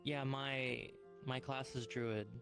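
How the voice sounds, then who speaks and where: A man's voice: mid-range voice, little pitch movement, slow. A man chatting casually in improvised role-play, with an agreeable manner. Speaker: role-player playing a druid healer. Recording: online game voice chat, music behind. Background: music.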